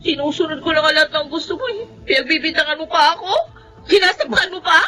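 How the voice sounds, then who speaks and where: A woman's voice: high-pitched, quick pace, pushed and bright, faint music behind. An actress playing a young woman protesting plaintively against a jealous suitor in a melodrama. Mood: hurt, defiant. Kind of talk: Filipino melodrama acting.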